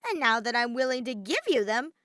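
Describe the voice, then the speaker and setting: A woman's voice: fairly high pitch, animated, brisk, fairly bright tone, a little hiss or hum, pitched above the performer's own voice. A woman voicing a bratty, exasperated male robotic teddy bear villain in a fan fiction audio reading, theatrical and whiny. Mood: demanding. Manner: theatrical, whiny, griping outbursts.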